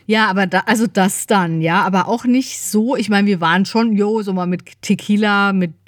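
A woman's voice: medium pitch, normal speed, dry recording, clean studio sound. A middle-aged woman co-hosting a podcast in casual, colloquial conversation, often adding little tag questions. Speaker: podcast co-host. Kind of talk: casual chat.

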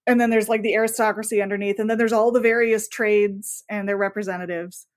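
A woman speaking casually as an interview guest, telling things in an animated, bookish and enthusiastic way. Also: mid-range voice, fast. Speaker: podcast guest, author.

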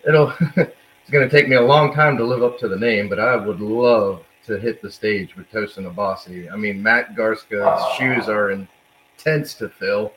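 A man's voice: on the low side, measured pace, background noise. A man with a Pennsylvania accent in a band, answering hesitantly and humbly in an interview. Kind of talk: casual interview chat.

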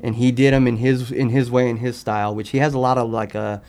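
A man talking casually as a podcast guest, in informal slang, often halting and repeating his words as he explains things. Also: medium pitch, level tone, a little mellow. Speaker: podcast guest, sneaker customizer. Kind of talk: casual interview chat.

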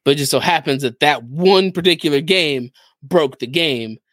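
A man's voice: fairly high pitch, moderate pace, fairly bright tone, no room sound, clean studio sound. A man casually explaining gaming news as a podcast co-host.

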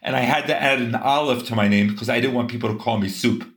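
Guest voice: earnest man, mid pitch, rambling restarts, echoey room. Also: fast talker, a bit bright. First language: English. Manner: earnest, talks in long runs with many restarts. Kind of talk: casual interview conversation.

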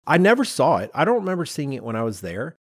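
A man's voice: a little high, huge pitch swings, fast, husky, no echo, clean studio sound. A man, telling stories as a podcast co-host in an emphatic, self-deprecating, conversational way. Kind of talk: animated storytelling in casual podcast chat.

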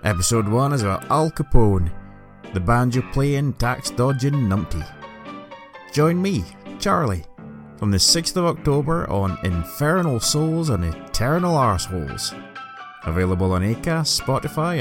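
A man's voice: medium pitch, sing-song, measured pace, music in the background. A man playing a crude, cheeky dead gangster ghost in a theatrical, taunting podcast trailer monologue.

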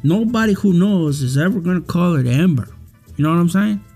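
A man's voice: high voice, lively intonation, brisk, dark tone, slightly rough, exaggerated voice. A man playing a shady, conspiratorial club insider peddling secrets in slangy talk in a radio comedy. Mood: sly, scornful. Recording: music behind the voice.

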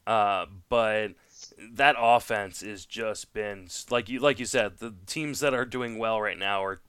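A man's voice: lowish voice, a little slow, fairly bright tone, clear, resonant tone, dry recording. A man hosting a podcast, talking casually and thinking aloud about sports, a native French speaker. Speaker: podcast host. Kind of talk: casual sports talk, fantasy baseball discussion.

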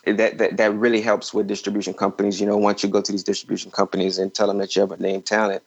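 A man giving casual, advisory interview answers as a filmmaker guest, haltingly, often repeating words. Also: fairly low pitch, flat delivery, slightly rough, highs cut off.

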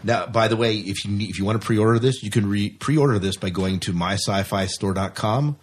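Husky, low-pitched American man chatting casually. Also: fairly quick. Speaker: podcast co-host. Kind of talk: casual sci-fi news chat.

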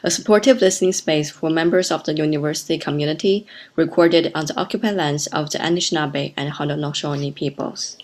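A woman's voice: deep voice, fairly quick, hardly any pauses. A woman hosting a university podcast, reading a careful scripted intro and then interviewing a guest. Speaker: podcast host.

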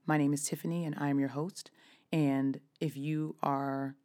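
A woman in her thirties hosting her own podcast, her voice raspy and hoarse, talking casually with frequent restarts. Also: low voice, flat delivery, moderate pace, darkish tone. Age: 30s. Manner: chatty, with frequent restarts and fillers. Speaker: podcast host. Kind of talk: casual solo podcast talk.

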